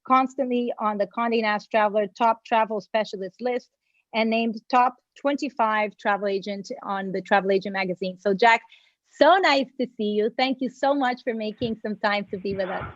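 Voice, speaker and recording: A woman's voice: a little high, normal speed, no echo. A woman who grew up in Mexico, moderating and opening a virtual panel with effusive, gracious thanks to her guests. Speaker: panel moderator and agency founder. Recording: dry, slightly dull video call audio.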